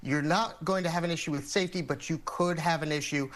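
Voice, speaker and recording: Higher-pitched American man, expert guest. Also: steady tone, a bit bright. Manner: measured, methodical explaining. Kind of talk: explanatory TV news interview.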